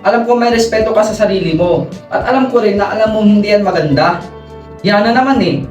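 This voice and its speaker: A woman's voice: mid-range voice, a little fast, darkish tone, muffled recording, faint music behind, lightly acted. A blunt, worried young friend, acted by a woman in a radio drama. She sounds exasperated, recorded far from the mic in an echoey space. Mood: confronting. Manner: confronting, exasperated.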